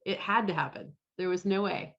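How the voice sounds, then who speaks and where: A woman's voice: lowish voice, moderate pace, a bit bright, lo-fi sound. A woman casually hosting an online group meeting, chatting and reacting to guests over a video call. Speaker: host of an online fan club meeting.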